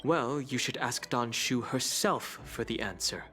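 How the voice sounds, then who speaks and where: A man playing a composed, tactful traveler in a game cutscene, speaking politely in a measured, articulate way. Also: medium pitch, bright, forceful tone. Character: composed, tactful male traveler answering a general diplomatically. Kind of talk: scripted game cutscene acting. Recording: background music.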